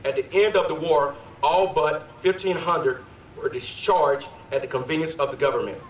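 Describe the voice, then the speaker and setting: A slightly hoarse man in his forties, a sergeant major, talking loosely and energetically with many fillers at an outdoor ceremony. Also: on the high side, moderate pace, slight background noise. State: a little hoarse. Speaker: sergeant major giving a history talk. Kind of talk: ceremonial speech. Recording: distant echoey PA outdoors.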